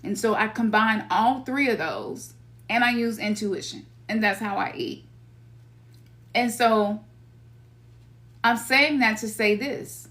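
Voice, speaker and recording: A middle-aged woman with a Memphis Southern accent gives a loose, slangy spiritual talk to her listeners. Her voice sits a touch high for a woman. She keeps it conversational and now and then acts out her own inner voice. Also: takes it slowly, pauses often, a bit bright. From Memphis, Tennessee. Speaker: spiritual teacher.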